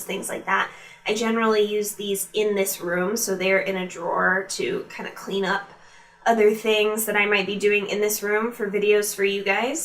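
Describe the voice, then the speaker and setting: A woman's voice: mid-range voice, fairly bright tone, far from the mic. A woman chatting informally to her viewers as a beauty vlogger, reviewing products in a casual, direct way. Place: room at home used for filming.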